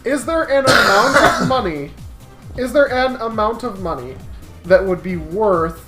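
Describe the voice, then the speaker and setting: A woman's voice: mid-range voice, lively intonation, moderate pace, loud music behind the voice. Playful woman bantering in echoey room. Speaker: podcast co-host. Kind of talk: casual comedic banter.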